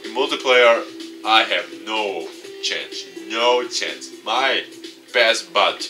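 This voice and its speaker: A man reading a visual novel aloud and commenting off the cuff, dramatic with excitable asides. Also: lowish voice, slow. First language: not English. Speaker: let's play YouTuber. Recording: distant mic, music behind. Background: game music.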